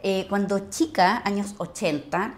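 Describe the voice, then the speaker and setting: A middle-aged woman with a Chilean accent tells personal stories conversationally, expressively and with searching pauses. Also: mid-range voice. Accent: Chilean Spanish. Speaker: actress, interview guest.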